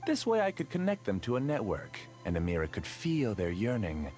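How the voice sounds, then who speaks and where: Brief: a deep, silky game villain, a composed scholar with lively swings in his voice. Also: a little fast, faint music behind. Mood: calm. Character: obsessive male scholar villain, calm and self-assured. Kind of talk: game voice acting.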